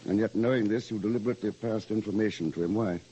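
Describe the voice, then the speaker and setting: An actor playing an anxious, stern English boarding school housemaster, with a British accent and formal, measured wording, in old radio drama style. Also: male voice, fairly low pitch, mellow, breathy edge, poor audio. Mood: stern, probing. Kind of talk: old-time radio drama acting and first-person narration.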